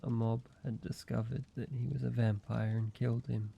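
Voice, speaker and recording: A man voicing an ancient vampire in an intimate roleplay audio, speaking in a measured, halting, solemn way, like a weary father telling his past. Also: mid-range voice, level tone, gravelly, husky, dull audio. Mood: somber, regretful.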